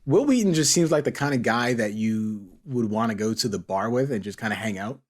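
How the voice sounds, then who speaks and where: A man's voice: lowish voice, lively intonation, brisk. A man hosting a casual group chat, teasing and bantering playfully with his co-hosts. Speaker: podcast host.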